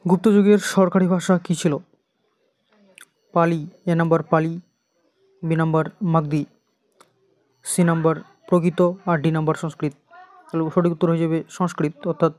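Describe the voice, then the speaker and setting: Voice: medium pitch, level tone, moderate pace, pauses often, a little mellow, no echo. An exam coaching teacher with an Indian Bengali accent, reading out quiz questions and repeating the answers in an instructional way.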